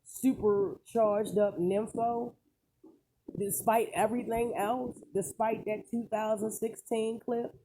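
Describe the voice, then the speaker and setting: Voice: medium pitch, fairly even intonation, slow pace. A commentator giving casual, rambling opinions in an emphatic, colloquial way, often throwing in rhetorical questions. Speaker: online celebrity news commentator. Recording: clearly noisy recording with clicks.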